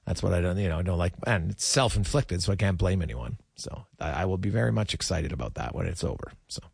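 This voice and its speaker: A man hosting a casual sports talk show, speaking in a halting, repetitive way. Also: sits low, lively intonation, gravelly, breathy edge, dry recording, studio quality.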